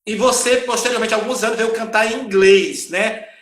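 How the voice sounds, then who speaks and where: A man's voice: high-pitched, fairly even intonation, moderate pace, fairly bright tone, reverberant, slight background noise. A male podcast host asking interview questions, affirming with short interjections.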